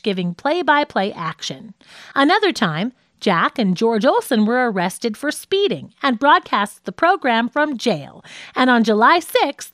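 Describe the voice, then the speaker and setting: Audiobook narrator, female, higher voice with very animated intonation, recorded dry and close. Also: dry recording. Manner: reads quoted radio dialogue expressively.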